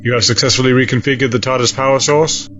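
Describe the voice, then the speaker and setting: A man playing a grave, resolute military admiral in an audio drama, measured and authoritative. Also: mid-range voice, steady tone, a bit bright, faint music behind, close to an everyday voice. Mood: grave, grateful. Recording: dull lo-fi sound.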